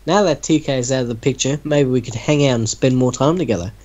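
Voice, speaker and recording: A man's voice: mid-range voice, fast talker, darkish tone, dull audio. A man casually reading a story aloud to friends, bantering and breaking in with sceptical, teasing comments. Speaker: reader in a group reaction show.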